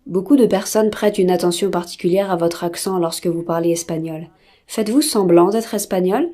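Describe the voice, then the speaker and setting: Mellow-voiced woman asking polite questions. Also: lowish voice, a little fast. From Argentina. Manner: polite, inquiring. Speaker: interviewer. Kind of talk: formal interview questions.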